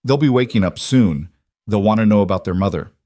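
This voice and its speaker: A man voicing a stern, shrewd older mother of a crime family in a measured, grave way for an audio story. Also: fairly low pitch, lively intonation, warm tone, dry recording, clean studio sound, lightly acted. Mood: gentle, firm.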